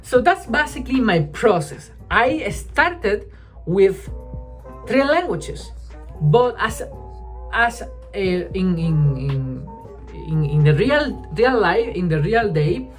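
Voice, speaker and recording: Spanish-speaking woman vlogging in english, mid pitch, lots of restarts and "right?", faint music under. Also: lively intonation. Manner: halting, restarts often, keeps adding "right?". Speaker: language-learning vlogger. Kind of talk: casual chat to camera.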